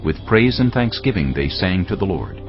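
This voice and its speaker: A man giving a solemn, measured narration of scripture in a dramatised reading. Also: deep voice, big pitch swings, muffled recording. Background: background music.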